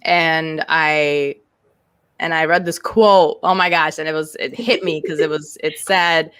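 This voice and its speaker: A woman's voice: low voice, fairly even intonation, unhurried, a bit bright, smooth, no echo. Frank, candid woman guest in dry sound. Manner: frank and candid, swears freely, many fillers and restarts. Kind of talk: casual interview chat.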